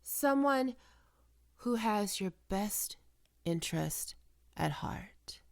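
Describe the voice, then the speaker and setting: A woman playing a probing, smoothly manipulative psychiatrist in an ASMR roleplay, speaking in measured, deliberate phrases. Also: mid-range voice, lively intonation, darkish tone, exaggerated voice. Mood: probing, insinuating. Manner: measured clinical questioning with deliberate pauses. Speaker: voice actor performing a roleplay.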